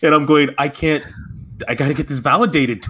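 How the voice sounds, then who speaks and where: Man chatting excitedly in casual slang. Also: on the high side, brisk. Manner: animated storytelling, slangy, profane. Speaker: podcast co-host. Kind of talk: casual fan chat.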